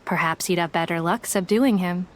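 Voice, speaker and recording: A woman's voice: on the low side, normal speed, natural voice. A woman voicing a shrewd, businesslike detective in a video game, composed and slightly formal.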